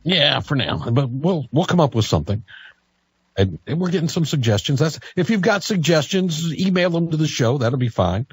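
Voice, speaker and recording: A man's voice: on the high side, animated, moderate pace, breathy edge, no echo, soft background music. A man hosting a live radio talk show in a casual, conversational style.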